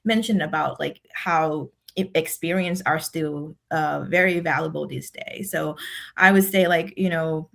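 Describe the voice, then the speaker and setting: A woman's voice: low voice, unhurried, creaky, a bit bright. A woman with a Thai accent, a guest on an interview podcast, speaking in an earnest, reflective way and laughing often. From Thailand. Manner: earnest and reflective, laughs often, repeats words while thinking. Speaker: guest, decision scientist and speaker.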